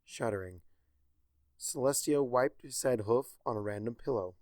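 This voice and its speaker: A man reading a story aloud with a wry, expressive delivery. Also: mid-range voice, dry recording, clean studio sound. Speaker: fanfiction narrator.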